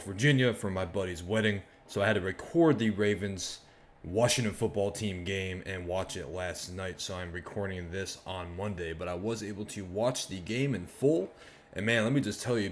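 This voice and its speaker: Hoarse man with a very deep, low voice, from Maryland, hosting a rambling, chatty fan channel. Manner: chatty, rambling, energetic asides. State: hoarse, voice worn out. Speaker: sports fan channel host. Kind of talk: casual fan reaction monologue.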